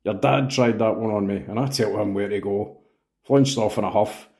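Gruff, wheezy old grandpa, read by a man; low and dark, blunt and teasing. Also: normal speed, natural voice. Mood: scornful.

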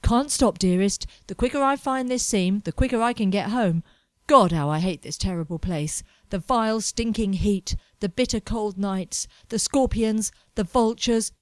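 A woman voicing a pompous, melodramatic young man chasing gold, complaining loudly about everything around him. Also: medium pitch, moderate pace, dry recording, close to an everyday voice. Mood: fervent. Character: anxious, pompous young male fortune hunter.

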